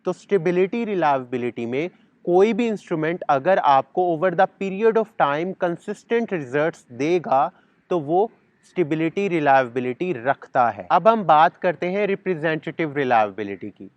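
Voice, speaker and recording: A man's voice: on the high side, lively intonation, moderate pace, no echo, dull audio. A man, giving a sociology lecture and slipping English terms into his while repeating key words. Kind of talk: explanatory lecture. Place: outdoors in a garden.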